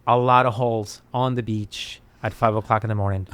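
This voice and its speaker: A Spanish-speaking man from Colombia with a Colombian accent, chatting as a podcast guest in an animated way, laughing and restarting often. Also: lowish voice, fairly quick, smooth. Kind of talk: casual conversation.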